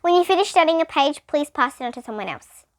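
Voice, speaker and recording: A woman's voice: high voice, animated, fast. A female reader voicing a strict, blunt, matter-of-fact woman schoolteacher in a children's storybook. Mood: brisk, superior.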